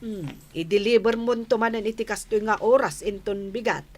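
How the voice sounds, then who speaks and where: A woman acting a busy, apologetic housewife in an Ilocano radio comedy drama, expressive and given to explaining herself. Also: medium pitch, moderate pace, slight background noise, lightly acted. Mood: apologetic, friendly.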